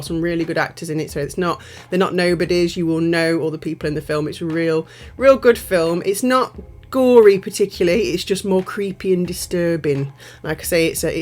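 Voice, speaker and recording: A woman, a little nasal and bunged up from a cold, chatting casually through a nail art tutorial voiceover. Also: fairly low pitch. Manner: chatty, rambling, self-correcting. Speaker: nail art channel host.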